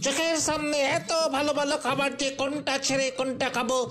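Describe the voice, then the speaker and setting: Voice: high-pitched, fairly even intonation, quick pace, lightly acted. A performer voicing a cheeky, gluttonous cartoon schoolboy with a glib, wheedling way of talking. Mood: gleeful. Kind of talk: exaggerated cartoon dubbing. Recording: loud music behind the voice.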